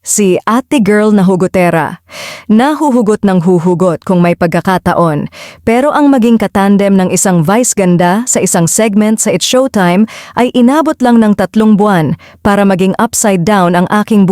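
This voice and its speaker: A woman narrates a first-person audio drama from a script. Her voice sits at an average pitch for a woman, and the recording is close and dry, without room sound. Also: normal speed, a little mellow, dry recording. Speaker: narrator and lead voice actor.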